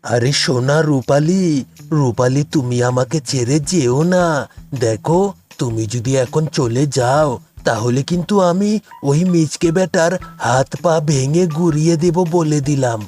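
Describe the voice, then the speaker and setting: A man's voice: a little high, measured pace, darkish tone, faint music behind, lightly acted. A man voicing a male cartoon animal, emphatic and commanding, drawing out the names of those addressed. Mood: threatening. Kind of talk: cartoon voice acting.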